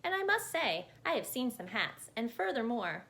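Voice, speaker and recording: A woman voicing an excitable storybook chatterbox man who rambles on breathlessly and keeps interrupting himself. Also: fairly high pitch, moderate pace. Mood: rambling, admiring.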